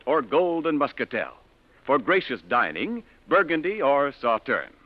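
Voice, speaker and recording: A man's voice: medium pitch, dry recording. A man reading a scripted 1940s-style radio commercial as a polished, formal announcer. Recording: muffled vintage radio recording.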